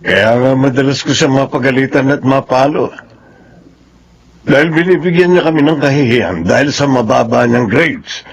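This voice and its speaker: A man's voice: mid-range voice, moderate pace, vocal fry, slightly rough. An actor playing a strict, ambitious father in a radio drama, explaining himself defensively. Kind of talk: Filipino radio drama acting.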